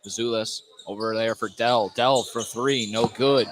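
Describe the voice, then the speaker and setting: A man calls a live basketball game for radio in a mid-pitched voice. He sometimes trips over a phrase and corrects himself. Clear gym noise and a bouncing ball sit behind him. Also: steady tone, a little slow. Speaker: play-by-play commentator. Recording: noisy live gym broadcast. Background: clear background noise, bouncing basketball.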